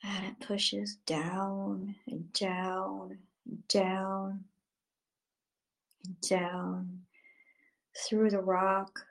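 Female meditation guide, very slow and level in delivery, soothing, hushed at phrase ends. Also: medium pitch, level tone.

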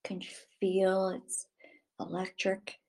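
Slow, even-toned woman guiding meditation. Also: medium pitch. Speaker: guided meditation leader. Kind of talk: guided meditation, slow spoken instructions.

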